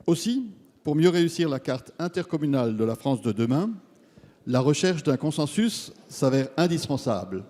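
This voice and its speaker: A man giving a formal, measured parliamentary speech, reading out a prepared question as a member of parliament. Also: on the high side, expressive, warm tone. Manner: measured, formal, read-out delivery. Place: large parliament chamber. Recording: long reverb of a large hall.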